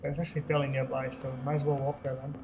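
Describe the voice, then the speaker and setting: A let's-play host giving casual gameplay commentary in a relaxed, rambling way with frequent laughs. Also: medium pitch, fast talker, creaky, background noise.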